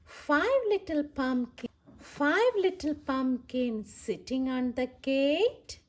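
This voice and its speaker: A woman hosts a children's read-aloud in a high voice. Her intonation moves up and down a lot, and she takes her time, stressing repeated words. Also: lively intonation, slow. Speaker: children's story reader and host.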